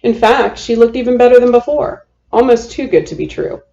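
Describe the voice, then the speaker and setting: A woman reading a children's picture book aloud as a storytime host, with a deliberate, repeating storytelling cadence. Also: fairly high pitch, animated, vocal fry, warm tone, highs cut off.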